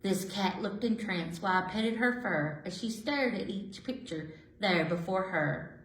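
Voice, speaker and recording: A woman reading rhyming picture books aloud to children in an animated, sing-song way. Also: medium pitch, unhurried. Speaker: children's storyteller. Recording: roomy, echoey indoor sound.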